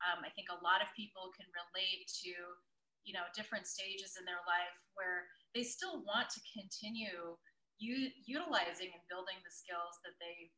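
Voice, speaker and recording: A middle-aged woman, a former TV journalist from Taiwan, telling her story as a guest in an animated, conversational way. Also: on the low side, moderate pace, poor audio. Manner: animated storytelling with frequent laughs. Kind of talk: conversational interview.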